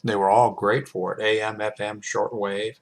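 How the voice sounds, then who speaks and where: An older man in his seventies with a low voice gives a casual, unscripted review. He speaks haltingly, often pausing in mid-sentence as he works out what to say. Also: flat delivery. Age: 70s. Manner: halting, hesitant delivery with mid-sentence pauses. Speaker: radio hobbyist reviewing a portable shortwave radio. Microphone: webcam microphone.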